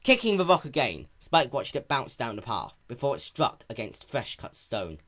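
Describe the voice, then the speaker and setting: Animated male story narrator. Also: medium pitch, normal speed. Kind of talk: dramatic narration of fan fiction.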